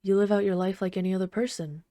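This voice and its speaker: A woman playing an obsessive, flirtatious villain in an intimate ASMR roleplay, speaking softly and unhurriedly with teasing, casual menace. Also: mid-range voice, steady tone, fast talker, no echo, close to an everyday voice. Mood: earnest, bitter. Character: obsessive yandere villain, flirtatious bomber calmly toying with trapped hero.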